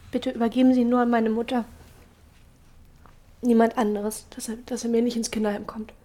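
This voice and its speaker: An actress playing a tender, grieving young mother in prison, hushed and halting, in a naturalistic radio drama. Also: on the high side, flat delivery, lightly acted. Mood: urgent pleading.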